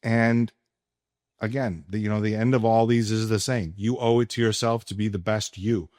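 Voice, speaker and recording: A man hosting a casual sports podcast, speaking emphatically with deliberate pauses. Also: on the low side, fairly even intonation, a little mellow, dry recording, clean studio sound. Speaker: podcast host. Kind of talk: casual sports podcast talk and personal ad read.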